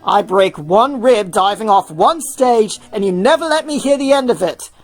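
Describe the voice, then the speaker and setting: A woman's voice: mid-range voice, expressive, moderate pace. A woman voicing an indignant, reckless male musician in a story reading.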